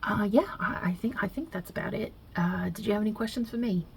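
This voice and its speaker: A woman's voice: mid-range voice, a little fast, creaky, slight background noise, lightly acted. A woman voicing God as a brash, short-tempered, non-binary Italian mob boss in an ASMR roleplay, with a put-on Italian-New York accent and a blunt, chummy, rambling delivery. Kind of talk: ASMR roleplay interview, improvised.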